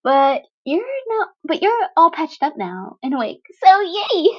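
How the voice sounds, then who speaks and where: A woman's voice: extremely high pitch, big pitch swings, measured pace, pitched above the performer's own voice, heavily acted. A woman voicing a gleefully cheerful, teasing teenage villain girl in a fan fiction audio story. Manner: bubbly, giggly, teasing. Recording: dull lo-fi home recording.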